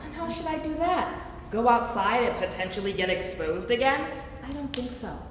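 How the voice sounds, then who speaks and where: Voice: medium pitch, expressive, normal speed, close to an everyday voice. A young woman reading the part of an anxious, quippy, affectionate man in a staged play. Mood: gloomy, sulky. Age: young adult. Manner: dramatic, quippy. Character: Wes, anxious, sarcastic man cooped up at home, fond of his partner. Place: staged reading in a large echoey room. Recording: echoey hall, far mic, faint noise.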